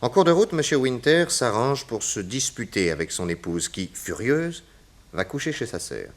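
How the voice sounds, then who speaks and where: An actor playing a self-assured, logical private detective, delivering measured deductions in a theatrical 1960s radio crime drama. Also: male voice, medium pitch, very animated, lightly acted. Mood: confident, accusing.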